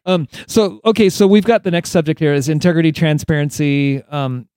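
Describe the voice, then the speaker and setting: A man hosts a laid-back podcast conversation, circling his points with restarts and little laughs. His voice sits slightly high but has a warm, relaxed tone. The sound is close and dry with no room around it. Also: brisk, clean tone, no room sound. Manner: rambling, with many restarts and chuckles. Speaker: podcast host. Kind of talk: casual interview chat.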